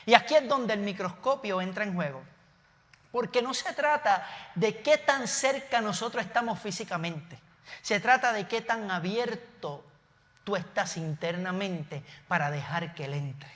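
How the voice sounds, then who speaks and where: A Puerto Rican pastor with a Puerto Rican Spanish accent preaches from a church stage, mixing earnest points with casual asides and quick self-corrections. His voice sits high and sounds bright and forceful. A long hall reverb trails behind his words. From Puerto Rico. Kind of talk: sermon.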